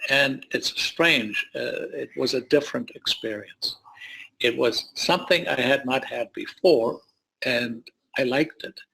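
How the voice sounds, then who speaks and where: An elderly man with a middle-pitched voice recounts his own history as an interview guest. He speaks in a wry, anecdotal, plain-spoken manner, like a retired doctor looking back on his career. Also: unhurried, gravelly, bright, forceful tone, slightly rough, poor audio. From born in Poland, grew up in the United States. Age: 70s or older. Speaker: guest, retired surgeon and medical advisor. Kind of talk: autobiographical storytelling in an interview.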